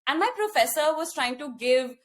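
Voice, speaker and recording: A woman's voice: sits high, expressive, normal speed. A young woman with an Indian accent, co-hosting a casual podcast and telling a story animatedly with frequent restarts. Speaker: podcast co-host.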